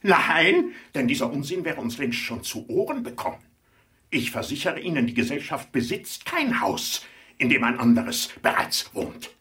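A self-important male chairman in a radio play, a little away from the microphone. His voice is bright and forceful and swings animatedly in pitch. He is officious and easily flustered, with hesitant fillers breaking up his bluster. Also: big pitch swings, recorded from a distance. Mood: indignant, threatening. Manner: pompous, officious, hesitating with filled pauses, increasingly exasperated. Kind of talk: 1980s German radio drama acting.

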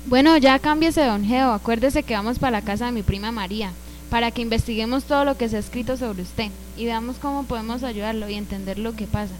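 A woman's voice: a little high, fairly quick, soft background music, natural voice, poor audio. A woman playing a cheeky, bossy small-town youngster in a radio drama, with a Colombian accent and a colloquial, teasing way of talking. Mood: cheeky, helpful.

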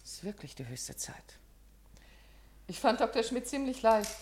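A woman's voice: fairly high pitch, big pitch swings, normal speed, darkish tone. An actress playing a caring, composed woman helper in a 1950s German radio drama, speaking politely and soothingly. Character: caring, composed unmarried woman giving nursing help.

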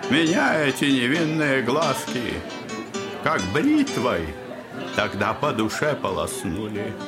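An actor playing a drunken, debauched old landowner, maudlin and theatrical, in an audio drama. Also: male voice, mid-range voice, very animated. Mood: sly, sentimental. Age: older. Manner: theatrical, drawn-out, swinging from shouts to murmurs. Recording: music and crowd behind the voice.

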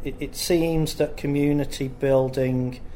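A man's voice: mid-range voice, steady tone, measured pace, warm tone. A man interviewing a guest on the radio, supportive, often prompting and finishing her sentences. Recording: faint background noise.

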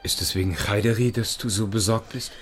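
A man's voice: fairly low pitch, fairly even intonation, brisk, faint music behind, lightly acted. An actor playing an ardent, insistent young man in a radio drama, speaking directly. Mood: urgent, hurt. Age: young adult. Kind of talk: German radio drama acting.

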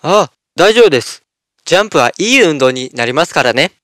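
A man's voice: on the high side, sing-song, a little fast, a bit bright, no echo, clean studio sound. A man playing a brave, devoted toy soldier in a children's fairy-tale cartoon, earnest and dramatic. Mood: earnest, gentle.

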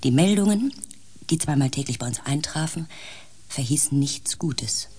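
A calm base camp crew member in a science fiction radio drama. The voice is medium in pitch, steady and matter-of-fact, both in dialogue and in storytelling. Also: moderate pace, husky. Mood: grave narration. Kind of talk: radio play acting and narration.

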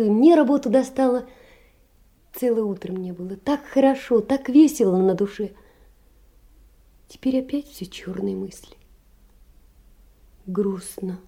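An actress playing a poor, lonely young seamstress in an audio play, tender and emotional, often dropping to a breathy whisper. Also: female voice, a little high, dark tone. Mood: wistful, anxious. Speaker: stage actress. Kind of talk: theatrical audio-play monologue of letters.

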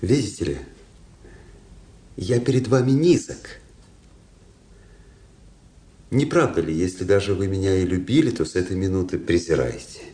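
Dark, low-voiced proud officer. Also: male voice, expressive, normal speed. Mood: cold, resolute. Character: cold, proud young Russian officer, candid and self-condemning. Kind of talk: radio drama acting.

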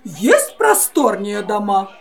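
A woman's voice: medium pitch, big pitch swings, slow, creaky, heavily acted. A woman playing a bossy, self-satisfied mother pig in an audio play for children. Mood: dismissive.

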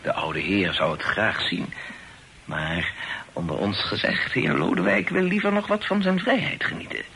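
An actor in a period radio play, voicing a humble, talkative old poet who is effusive, hesitant and deferential. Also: male voice, on the low side, big pitch swings, moderate pace, pushed and bright, full character voice. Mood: chatty, fond. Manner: effusive, hesitant, sighing, repeats himself. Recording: noisy old radio drama recording.

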